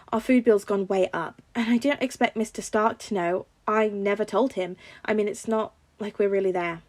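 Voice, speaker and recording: A woman's voice: medium pitch, darkish tone, natural voice. A woman voicing a shy, self-effacing teenage boy with a hesitant, defensive manner in a story reading.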